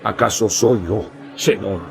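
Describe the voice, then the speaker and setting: Man doing a gravelly worried disciple, low, music behind. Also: unhurried. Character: worried male disciples, group voice.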